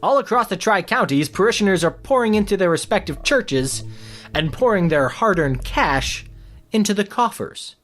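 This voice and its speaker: An actor playing an earnest, gullible co-anchor reads a comedy newscast and mock ads over music. The mid-pitched voice swings widely with salesy announcer polish and relentless cheer. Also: expressive, normal speed, a bit bright, lightly acted. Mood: upbeat, earnest.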